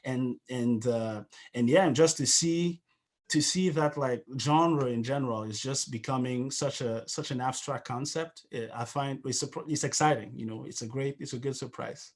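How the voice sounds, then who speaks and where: A man's voice: medium pitch, moderate pace. A man in his thirties from Cameroon in a casual question-and-answer conversation on a video call, speaking thoughtfully and often restarting as he searches for words. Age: 30s. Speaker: musician and interview guest.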